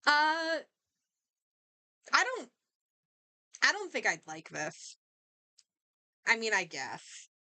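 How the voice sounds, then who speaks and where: A woman doing casual game stream commentary, reading aloud and reacting in a halting, think-out-loud way. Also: a little high, huge pitch swings, no echo.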